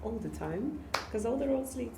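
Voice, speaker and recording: A woman's voice: on the high side, lively intonation, moderate pace, over a noisy crowd. A woman in her twenties from Bulgaria, a podcast guest chatting enthusiastically and saying "like" often. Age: 20s. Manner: chatty, enthusiastic, many likes. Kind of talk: casual podcast chat. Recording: echoey room with faint noise.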